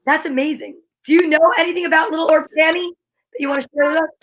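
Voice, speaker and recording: High-voiced, encouraging woman co-host. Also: fairly even intonation, fast talker, a bit bright. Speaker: show co-host. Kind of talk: casual interview chat.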